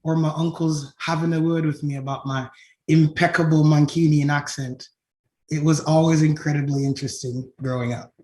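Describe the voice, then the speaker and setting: Slightly high-voiced man giving a roomy talk. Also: steady tone, moderate pace, dark tone. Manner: ends points with "right?" tag questions. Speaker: tech company founder presenting. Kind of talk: conference talk. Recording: roomy echo.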